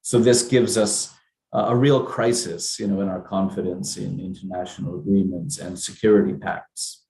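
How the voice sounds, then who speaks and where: Guy giving a lecture, lowish voice, lots of uh and you know, echoey room. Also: steady tone, gravelly, mellow, a little hiss or hum. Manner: measured, explanatory, with frequent fillers. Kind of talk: public lecture.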